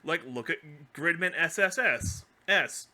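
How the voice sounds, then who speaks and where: Bright, higher-pitched man chatting casually. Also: lively intonation, takes it slowly, creaky. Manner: rambling, opinionated, slangy. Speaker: podcast host. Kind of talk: casual chat.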